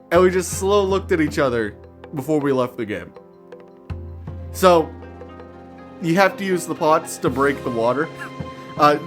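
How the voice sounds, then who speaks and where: A man's voice: medium pitch, a bit bright. A man hosting a gaming channel, casually telling rambling anecdotes with playful crude slang and silly nonsense words. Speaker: gaming storyteller and channel host.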